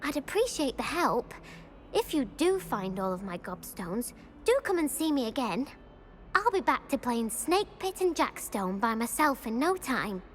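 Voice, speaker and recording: A woman's voice: a little high, sing-song, a little slow, a bit bright, slight background noise. An actress playing a self-pitying, indignant British schoolgirl in a video game, prim and plaintive in a dramatic way. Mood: pleading, huffy. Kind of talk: video game cutscene acting.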